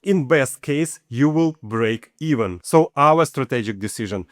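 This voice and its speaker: A man's voice: mid-range voice, animated, measured pace, dry recording. Ukrainian guy in his 40s doing a money vlog, plain matter-of-fact talk, dry close sound. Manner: matter-of-fact, walks through figures step by step. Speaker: channel host. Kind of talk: personal finance vlog.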